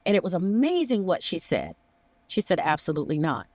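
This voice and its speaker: A woman's voice: lowish voice, wildly swooping pitch, fairly quick, darkish tone, no room sound. Middle aged lady chatting on a podcast, emphatic, acts out little quotes, really dry sound. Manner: emphatic, acts out imagined dialogue. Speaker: podcast host, emergency dispatch veteran. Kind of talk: conversational discussion.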